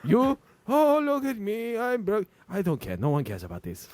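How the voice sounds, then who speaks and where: A man voicing a belligerent, boastful luchador supervillain, rough and gravelly, taunting and insulting in a bombastic way. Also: medium pitch, very animated, a little fast, mellow, dry recording. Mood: mocking. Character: belligerent, boastful luchador villain Bane, scornful bully.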